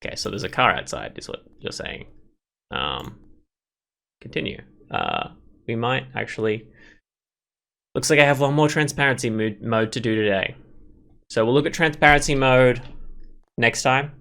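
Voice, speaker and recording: A man's voice: medium pitch, very animated, moderate pace, gravelly, long pauses, a bit bright. A man hosting a gameplay video, reading visual novel text aloud and adding rambling, casual commentary.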